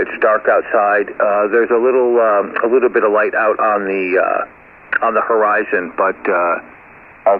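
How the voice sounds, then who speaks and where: A low-pitched man talks over a narrow, tinny shortwave link. He is an amateur radio operator, chatting casually and courteously in a halting way, with call signs mixed in. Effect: shortwave radio transmission. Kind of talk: casual ham radio chat.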